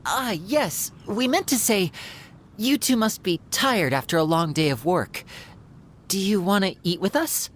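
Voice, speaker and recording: A woman voicing a polite, expressive, considerate young character in a fantasy game. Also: mid-range voice, measured pace, slight background noise, lightly acted. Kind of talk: animated fantasy game acting. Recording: music under the voice.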